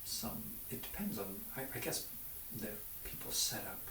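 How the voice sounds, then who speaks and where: A man talking casually in an interview as a teacher looking back on his work, with an expressive way of telling it. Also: lowish voice, normal speed. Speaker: private violin teacher. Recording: roomy, distant mic, faint noise.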